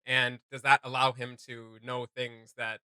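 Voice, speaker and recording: Bright-voiced man chatting casually. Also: medium pitch, little pitch movement, measured pace, smooth, dry recording. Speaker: reaction video host. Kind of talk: casual reaction chat.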